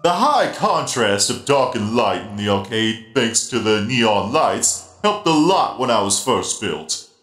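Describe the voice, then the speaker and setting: A man's voice: on the low side, sing-song, unhurried, a bit bright. A man voicing a huge, friendly animatronic spider DJ for a comic dub, genial and playful as he explains things. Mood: genial, explanatory. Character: giant friendly half-blind animatronic spider DJ. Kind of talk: comic dub character acting. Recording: roomy echo, music behind.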